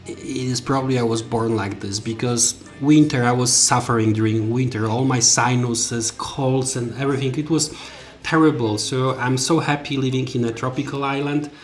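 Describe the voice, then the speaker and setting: A middle-aged man from Europe with a European accent, speaking English as a non-native language, recalling his travels in a plain, halting way for a vlog. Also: medium pitch, steady tone, faint music behind. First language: not English. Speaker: vlogger. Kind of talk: personal travel recollections to camera.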